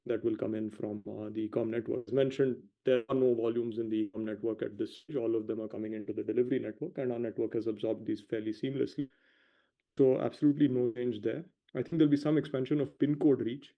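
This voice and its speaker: A male company executive giving measured, explanatory answers on an earnings call, hedging and pausing between short phrases. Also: mid-range voice. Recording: dull lo-fi conference call audio.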